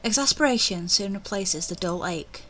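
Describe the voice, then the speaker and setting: A woman reading a visual novel aloud as its player, with expressive narration and casual asides. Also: medium pitch, normal speed, slight background noise.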